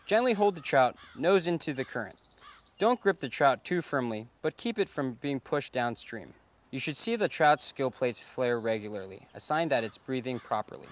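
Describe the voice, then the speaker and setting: A young man talks through practical tips in a steady, even voice. His scripted delivery stays clear and explanatory throughout, as if teaching outdoors. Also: medium pitch. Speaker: fly fishing instructor. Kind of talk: scripted instructional narration. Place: outdoors by a pond.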